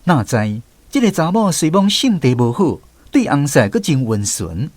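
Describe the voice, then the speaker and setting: Lively male radio drama narrator. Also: fairly high pitch, moderate pace, no room sound. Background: music.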